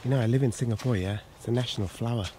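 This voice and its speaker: A man's voice: mid-range voice, racing, mellow. Chatty man on a noisy outdoor walk. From Sri Lanka. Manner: chatty, informal, repeats himself. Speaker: vlogger. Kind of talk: casual walking-tour commentary. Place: outdoors on resort garden paths. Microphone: handheld camera microphone, speaker behind camera. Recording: outdoor handheld camera, clear background noise.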